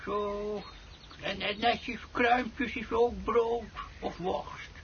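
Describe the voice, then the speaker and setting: A man's voice: sits high, steady tone, extremely slow, fairly bright tone, exaggerated voice. An actor playing a cheerful, nearsighted old grandfather in a comic radio play, muttering and repeating himself obliviously. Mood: coaxing, doting. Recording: dull lo-fi radio recording with noise.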